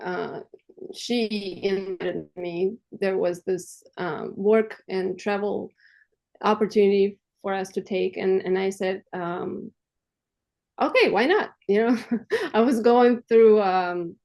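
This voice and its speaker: A woman from Armenia, raised in Russia, speaking English as a guest, earnest and halting, sharing her story with light laughter. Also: medium pitch, gravelly, a little mellow. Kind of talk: personal testimony in a conversation.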